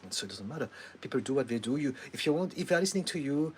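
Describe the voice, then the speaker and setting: In a soft, faintly hissy recording, a middle-aged man with a French accent gives patient advice at mid pitch. He speaks calmly and reassuringly, with the odd light laugh and frequent ums as he thinks. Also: rapid-fire. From France. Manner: calm, advising, laughs often, many ums. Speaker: therapist answering live chat questions. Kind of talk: livestream Q&A.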